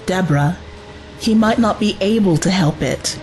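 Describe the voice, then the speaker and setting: A woman's voice: mid-range voice, normal speed, natural voice. A woman acting in an audio drama as a resolute, reassuring young adventurer, with urgency in her delivery. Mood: cautious.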